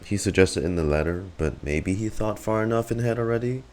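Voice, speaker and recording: A man's voice: fairly low pitch, lively intonation, brisk, a little mellow. A man streaming a game with casual reaction commentary, musing and muttering to himself.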